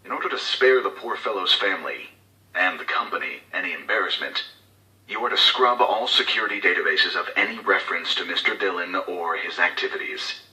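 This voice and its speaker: A man's voice: low-pitched, expressive, a little fast, bright, forceful tone, reverberant, far from the mic, full character voice, poor audio. An actor playing a cold, scheming male corporate executive who gives covert orders in formal, clipped, authoritative speech for a game audio log. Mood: cold, discreet.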